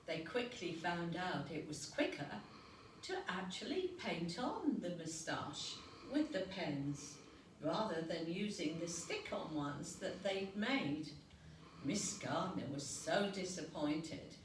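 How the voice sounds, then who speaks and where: A woman reading a picture book aloud to young children as a storyteller, unhurried, expressive and gently dramatic. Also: on the low side. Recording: roomy, noisy recording, distant mic.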